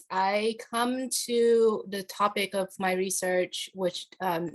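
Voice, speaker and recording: Low-voiced woman lecturer on a panel. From Vietnam. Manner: measured, frequent "um" fillers. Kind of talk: academic panel presentation.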